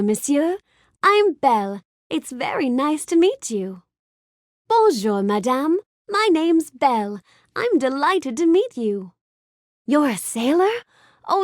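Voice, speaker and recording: A woman's voice: sits high, very animated, slow pace, dry recording, clean studio sound, full character voice. A woman voicing a gracious, bookish young storybook heroine in a video game, speaking politely and expressively with careful enunciation. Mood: warm welcome, then delighted wonder. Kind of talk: scripted video game character lines.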